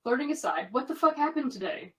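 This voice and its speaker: A woman's voice: sits high, very animated, fast, distant mic. A woman playing an earnest, conflicted young American woman in an audio drama, confiding in natural dialogue. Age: young adult.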